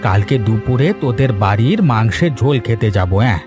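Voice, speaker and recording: Man playing an old village uncle, kindly, talkative, middle-pitched voice, music behind. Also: expressive, brisk, close to an everyday voice. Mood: friendly.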